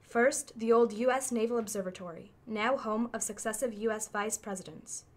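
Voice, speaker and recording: A female machine intelligence played by a woman delivers findings in a radio drama. The voice sits fairly high, and the delivery is formal, exact and impersonal, laying out facts like an analyst. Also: moderate pace, close to an everyday voice. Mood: clinical, matter-of-fact. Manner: formal, precise, matter-of-fact delivery. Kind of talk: radio play acting.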